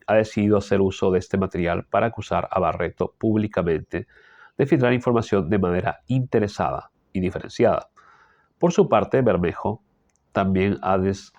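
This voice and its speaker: A man with a Peruvian Spanish accent reads a political column aloud and adds his own asides, often clearing his throat because of an allergy. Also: sits low, a little mellow, no echo. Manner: frequent throat clearing and tag questions. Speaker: political commentator reading the news. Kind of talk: reading a news column aloud with personal asides.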